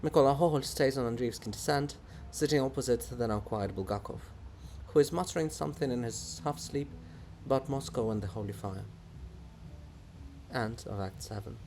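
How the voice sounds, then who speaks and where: A man reading stage directions from a play as scripted narration, in a measured, subdued manner. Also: mid-range voice, darkish tone, slight background noise. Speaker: reader of a play.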